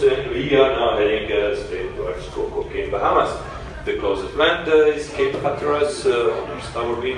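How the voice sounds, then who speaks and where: A man speaking as a cruise ship captain, making a formal, measured and courteous announcement with halting pauses over a public address speaker. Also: mid-range voice. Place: indoors on a cruise ship, heard over loudspeakers by a walking passenger. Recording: echoey ship PA from far off, crowd chatter. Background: passengers chattering.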